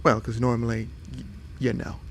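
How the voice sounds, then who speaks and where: A man's voice: medium pitch, creaky, a little hiss or hum, close to an everyday voice. A man playing a friendly, curious fox-boy monster in a roleplay audio drama, hesitant and apologetic as he addresses the listener. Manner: hesitant, halting, apologetic, wide-eyed.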